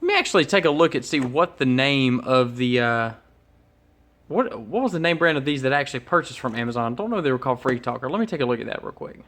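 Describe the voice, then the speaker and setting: Male, mid-pitched voice, informal product host, unscripted and a little hesitant, working things out aloud. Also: expressive, quick pace, a bit bright. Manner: hesitant, thinking aloud as he goes. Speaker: host of an electronics unboxing channel. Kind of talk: casual unscripted product unboxing commentary. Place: indoors at a workbench.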